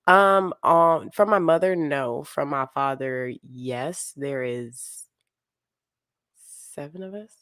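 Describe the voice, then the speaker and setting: A woman's voice: deep voice, slow pace, a bit bright, no room sound, clean studio sound. A woman guest on a podcast, easygoing, giving short answers and laughing often. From military family, moved often, raised partly in Japan. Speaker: guest, model. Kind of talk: casual interview answers.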